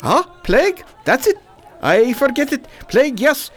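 A pushy, eager male shopkeeper in a radio play, acted out by a performer, bragging in broken English. His pitch swings up and down as he talks, and he keeps selling to the visitor. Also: sing-song, measured pace. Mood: grave. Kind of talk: amateur radio drama acting.

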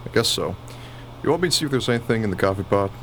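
A man's voice: fairly low pitch, expressive, rapid-fire, close to an everyday voice. A man playing a wry, grumbling, baffled working husband who is short of money, in a radio play. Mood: gloomy. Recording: noisy recording, vehicle-like ambience.

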